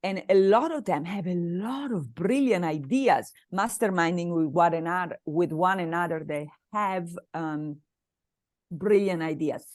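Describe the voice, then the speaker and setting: A woman from Italy with an Italian accent, speaking haltingly as a coach being interviewed, with pauses and repeated phrases. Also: fairly low pitch, a little mellow, no room sound, clean studio sound. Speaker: interview guest, business mastermind coach. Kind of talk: conversational interview.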